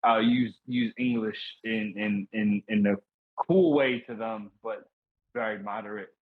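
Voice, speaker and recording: A man's voice: lowish voice, a little slow. A man co-hosting a podcast in casual chat, rambling and enthusiastic with slangy phrasing. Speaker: podcast co-host. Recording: slightly dull lo-fi audio.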